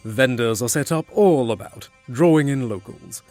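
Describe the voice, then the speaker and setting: A man's voice: medium pitch, animated, normal speed, a little mellow, soft background music. A man reading scripted narration for a fantasy audio drama.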